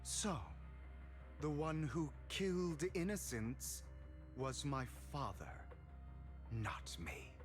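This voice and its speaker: An actor playing a proud, troubled young avenger in a video game, with intense theatrical phrasing. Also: male voice, on the high side, very animated, slow, pauses often, faint music behind. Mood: bitter, defensive. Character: proud, troubled young male avenger haunted by his bloodline.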